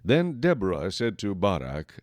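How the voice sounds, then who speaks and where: Deep, animated male Bible narrator. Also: unhurried, dry recording. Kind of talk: scripted dramatic Bible narration.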